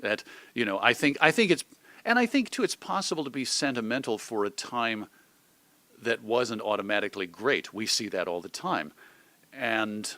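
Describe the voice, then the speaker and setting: A man's voice: medium pitch, lively intonation, normal speed, sharp tone, no room sound. An American man giving thoughtful, articulate answers as an interview guest, often reaching for examples. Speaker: interview guest, old-time radio host and magazine editor.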